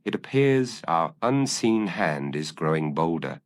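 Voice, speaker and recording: Guy doing a confident shrewd detective gent, deep voice, exact and formal, audiobook style. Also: sing-song, unhurried, full character voice. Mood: assured, analytical. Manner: precise, formal, deductive. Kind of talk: audio story character voice.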